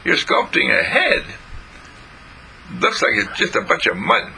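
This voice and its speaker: A man's voice: fairly high pitch, wildly swooping pitch, measured pace, vocal fry, sharp tone, slight background noise, pitched above the performer's own voice, full character voice, poor audio. A man doing a nosy little girl. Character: curious bossy six-year-old girl.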